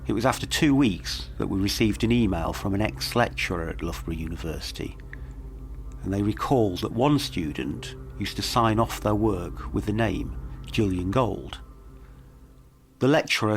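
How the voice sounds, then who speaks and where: A man reading scripted mystery narration in a measured, dramatic storytelling manner. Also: on the low side, creaky, slight background noise. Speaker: documentary podcast narrator.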